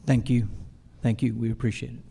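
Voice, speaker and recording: A man's voice: mid-range voice, little pitch movement, brisk, gravelly. Man moderating in a noisy, reverberant hall. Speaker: forum moderator. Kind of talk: brief formal moderating.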